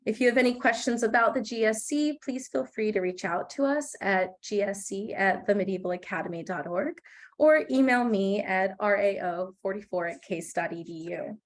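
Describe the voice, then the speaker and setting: A woman's voice: mid-range voice, moderate pace. A young woman with a slightly wheezy voice from a recent cough, chairing a graduate committee and reading welcome remarks on a video call.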